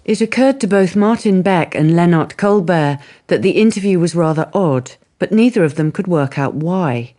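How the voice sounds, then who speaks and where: A man's voice: high voice, lively intonation, normal speed, few pauses, mellow. A man narrating a radio drama in a scripted storytelling style.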